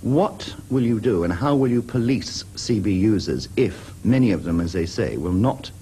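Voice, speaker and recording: A man's voice: on the low side, expressive, normal speed, mellow, slight background noise. A man with a British accent reporting for television and putting questions to interviewees. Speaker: TV news reporter.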